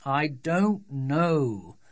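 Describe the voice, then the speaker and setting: An adult reader acts out a gloomy father in a children's story. He talks slowly and grumpily, weary and resigned, in a husky voice. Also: medium pitch, lively intonation, a bit bright. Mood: grumpy, resigned.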